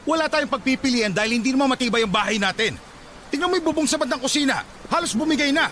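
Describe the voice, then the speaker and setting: A man's voice: very high-pitched, expressive, fast talker, bright, forceful tone, a little hiss or hum, heavily acted. A Filipino man playing a stern, harried father in a radio drama, scolding and giving urgent commands.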